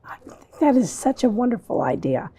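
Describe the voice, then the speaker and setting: Husky, animated woman hosting an interview. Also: on the high side, brisk. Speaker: TV show host. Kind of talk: conversational interview.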